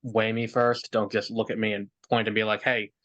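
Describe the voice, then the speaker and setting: A man's voice: on the low side, flat delivery, normal speed, a bit bright, lo-fi sound. A young man hosting a podcast in casual chat over a remote call, rambling with lots of fillers and restarts. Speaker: podcast host.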